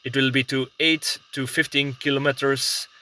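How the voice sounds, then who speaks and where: A man's voice: mid-range voice, flat delivery, moderate pace, bright, forceful tone. A man with a Belgian accent talks casually in a rambling vlog style, hesitating and searching for words. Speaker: prepper video blogger. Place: inside a parked car.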